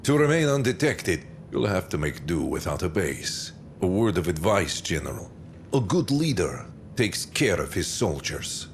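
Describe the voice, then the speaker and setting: A calculating, self-assured commander delivers a menacing game briefing. The low voice swings widely and theatrically between weighty pauses, over a faint noisy rumble. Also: male voice, big pitch swings. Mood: cool, conspiratorial. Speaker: military commander briefing a general. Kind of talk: scripted video game cutscene briefing.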